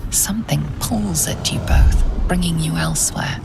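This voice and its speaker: An actress playing a mysterious storyteller, giving hushed scripted narration for a fantasy video game. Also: female voice, deep voice, unhurried, vocal fry, over music, full character voice. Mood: hushed, ominous.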